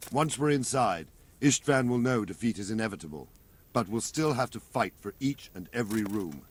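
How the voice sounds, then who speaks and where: An actor playing a blunt, gruff middle-aged veteran soldier commander in a video game cutscene, speaking in plain earthy soldier's talk. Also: male voice, on the low side, animated, moderate pace. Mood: briefing.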